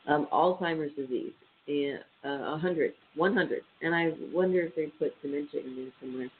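A man's voice: fairly high pitch, normal speed. A man with a stuffy, nasal voice hosting a casual radio show, reading statistics aloud with many ums and uhs. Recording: tinny phone-line sound with faint hiss.

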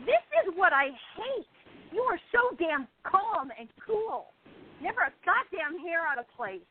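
A woman's voice: extremely high pitch, sing-song, unhurried, a little hiss or hum, heavily acted. A woman acting the part of a depressed, envious, defensive actress of almost thirty in a radio play. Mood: angry, bitter. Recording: thin phone-like audio.

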